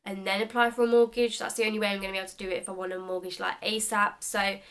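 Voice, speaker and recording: A young woman in her mid-twenties talks straight to her viewers in a relaxed, chatty vlog. Her delivery is upbeat and rambling, sprinkled with filler words. Her voice sits at an ordinary middle pitch for a woman. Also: very fast. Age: 20s. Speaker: beauty vlogger.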